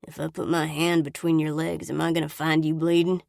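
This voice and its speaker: A woman's voice: low voice, little pitch movement, brisk, creaky, darkish tone, no echo, studio quality. A woman voicing a sneering, violent young man who snarls and mocks in an audiobook. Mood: menacing. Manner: snarling, mocking.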